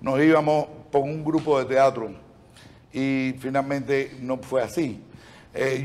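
A middle-aged man hosting a talk show in an expressive, joking way. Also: mid-range voice. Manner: expressive, joking, quick exclamations.

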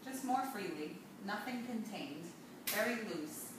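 A woman's voice: medium pitch, animated, a little slow. Young woman in a noisy, echoey room. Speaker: salon talk show co-host, hair stylist. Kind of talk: casual explanatory chat. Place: indoor hair salon seating area. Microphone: far camera. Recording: distant mic, echoey room, noisy background.

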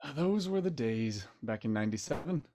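A man's voice: medium pitch, expressive, a little fast, mellow, a little hiss or hum, natural voice. Male actor as Victorian doctor and veteran for radio mystery: mid-range voice, nostalgic, old-fashioned turns of phrase. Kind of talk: radio play acting over video call.

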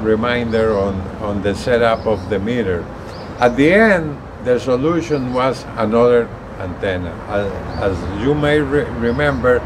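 A man in his sixties talks slowly and casually, explaining things to viewers. He stops and repeats words as he goes. He is recorded outside on a balcony, with faint ambient noise behind him. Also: mid-range voice. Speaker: gadget reviewer. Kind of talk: casual explanatory vlog talk. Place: outdoors on an apartment balcony. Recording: steady outdoor ambient noise.

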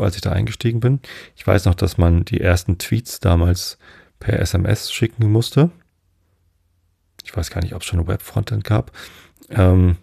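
Middle-aged northern German man, sleep podcast host; dark, husky voice, rambling, dry close mic. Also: low voice, no room sound. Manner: meandering, hesitant, many fillers and digressions.

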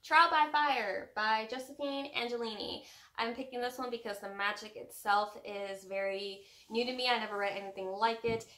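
A woman's voice: fairly high pitch, bright, forceful tone. A young woman chatting casually about books as a co-host of a home video. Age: young adult. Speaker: book vlogger co-host. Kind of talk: casual chat about books, reading out tag prompts. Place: small room at home with bookshelves. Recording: roomy echo from camera distance.

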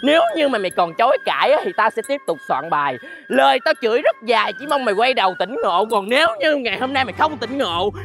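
A woman with a Southern Vietnamese accent playing a sharp-tongued market vendor in a comedy skit, scolding and bickering. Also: fairly high pitch, sing-song, fast, few pauses, music in the background. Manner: scolding, rhyming tirades. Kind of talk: fast comic skit acting, bickering.

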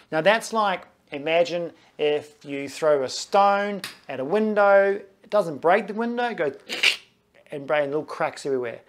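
A man in his forties, a physiotherapist, chatting through his own knee scans to camera in a small clinic office, often checking in with okay and right. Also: a little high. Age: 40s.

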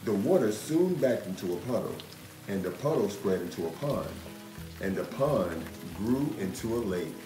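A man in his thirties reading a children's picture book aloud in an expressive, measured storytelling manner. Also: fairly low pitch. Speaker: storytime reader, host. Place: indoor room. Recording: roomy echo. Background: music.

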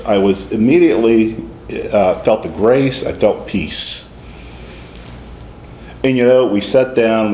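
A man's voice: lowish voice, a little mellow. A middle-aged man, a deacon, preaching a homily in a conversational way with folksy asides, in a small stone-walled church. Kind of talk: sermon. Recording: echoey church with faint room noise.

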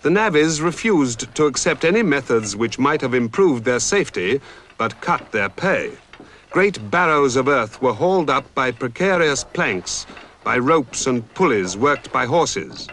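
A man giving measured, dramatic scripted documentary narration. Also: mid-range voice, very animated, a bit bright, slight background noise. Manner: measured, dramatic storytelling delivery.

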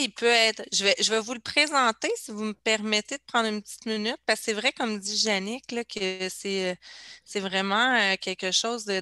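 A woman with a Quebec French accent presents her organisation's services in an informal, apologetic way. Her voice is bright and forward, at an average female pitch, picked up dry and close on a video call. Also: clean tone, dry recording. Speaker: caregiver association representative presenting services. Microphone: computer or headset on video call.

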